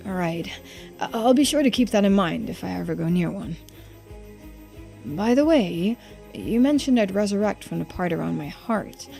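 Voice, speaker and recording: A woman's voice: medium pitch, lively intonation, moderate pace, gravelly, dark tone, soft background music. A woman voicing an uneasy, curious immortal in a visual novel game, asking questions in a polite, hesitant way. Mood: uneasy curiosity.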